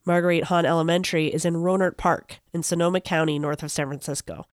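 A woman's voice: lowish voice, steady tone, fast talker, no room sound, studio quality. An American woman hosting a podcast, moving between scripted narration and interview questions. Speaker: podcast host.

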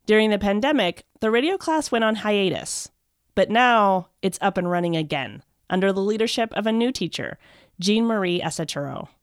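A woman's voice: medium pitch, lively intonation, moderate pace, no echo, clean studio sound. A woman reporter reading scripted narration for a radio feature. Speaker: education reporter and podcast host.

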